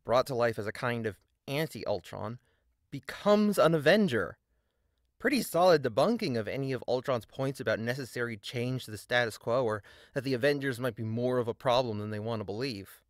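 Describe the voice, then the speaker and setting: A man's voice: mid-range voice, dry recording. A man narrating a scripted video essay in a sardonic, emphatic way with dry asides.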